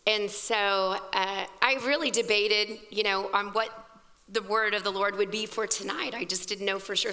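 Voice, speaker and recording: Hoarse woman preaching in a reverberant hall. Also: mid-range voice, bright, forceful tone. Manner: fervent, conversational preaching with exclamations. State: scratchy, hoarse voice. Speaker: pastor. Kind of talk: sermon at a women's evening service. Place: church hall. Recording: long reverb of a large hall.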